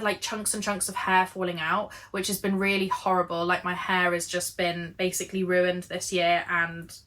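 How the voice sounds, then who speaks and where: A British woman giving a candid, chatty life update with a croaky voice and lots of filler words. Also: mid-range voice, pushed and bright. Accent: British English. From United Kingdom. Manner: candid, earnest, with frequent fillers. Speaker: vlogger. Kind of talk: chatty, honest life update while knitting.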